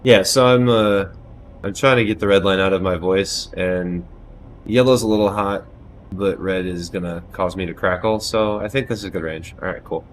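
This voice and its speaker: A man in his thirties chatting casually on a live stream from a small room at home, loud-voiced, loose and self-deprecating, with frequent swearing, on a headset mic. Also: deep voice, steady tone, normal speed, slight background noise. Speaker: game streamer.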